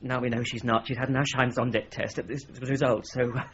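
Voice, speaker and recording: An actor playing a well-bred young British solicitor under suspicion in a radio drama, indignant and hesitant, with clipped, stammering politeness. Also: male voice, medium pitch, fairly even intonation, a little fast, dull audio, a little hiss or hum, close to an everyday voice. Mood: earnest, agitated.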